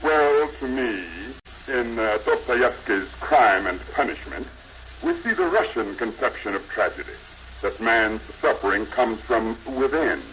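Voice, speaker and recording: A man's voice: mid-range voice, expressive, a little slow, fairly bright tone. A man acting a pompous, refined man of letters with an affected, erudite manner in a 1950s radio sitcom. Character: pompous, refined literary gentleman rival. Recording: dull vintage radio, faint noise.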